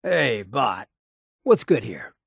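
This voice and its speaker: Male ghoul rogue, game companion, heavy rasp, wry drawl, swaggering, lively intonation, close dry recording. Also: medium pitch, slow pace, no echo, full character voice. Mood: wry, casual. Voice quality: gravelly, raspy. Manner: drawling, streetwise slang, wry. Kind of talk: video game companion dialogue.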